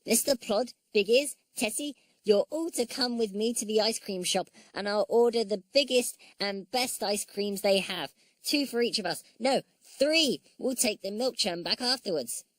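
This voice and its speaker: A woman voicing an eager, excitable little toy boy in a storybook reading, chirpy and earnest. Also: medium pitch, normal speed, no room sound, pitched above the performer's own voice, natural voice. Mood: excited.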